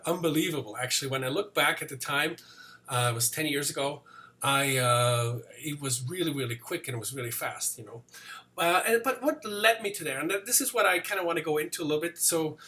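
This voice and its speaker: A man in his forties with a light Swiss accent talks straight to camera about business, rambling casually and leaning on fillers as he goes. His voice sits a bit high for a man and comes across bright and forceful. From Switzerland. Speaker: business coach. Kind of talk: motivational talk to camera.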